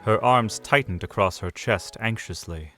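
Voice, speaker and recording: A man narrating an audio drama in an expressive storytelling manner. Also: fairly low pitch, unhurried, soft background music.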